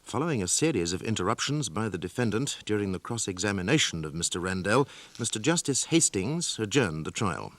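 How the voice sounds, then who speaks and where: An actor voicing a sober, formal announcer who gives measured, factual narration for a courtroom drama. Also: male voice, lowish voice, sing-song, fairly bright tone, no echo.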